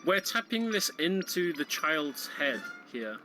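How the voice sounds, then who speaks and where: A man's voice: on the high side, sing-song, unhurried, sharp tone, soft background music. A man giving casual commentary on a video game run. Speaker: speedrun event commentator.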